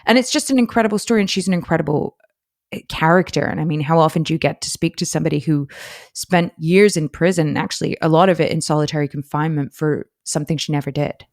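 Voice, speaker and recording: A woman's voice: sits low, quick pace, darkish tone, dry recording, clean studio sound. A woman podcast co-host from Dubai with a mixed international accent, British-leaning with American touches, telling stories conversationally. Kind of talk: casual conversational storytelling.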